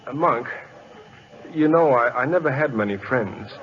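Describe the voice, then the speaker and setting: A man with an American accent playing a modest, educated teacher turned pitcher in radio drama, polite and hesitant. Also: animated, measured pace, lightly acted. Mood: awkwardly grateful. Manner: polite, hesitant, well-spoken. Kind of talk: 1940s radio drama acting. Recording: dull old radio recording.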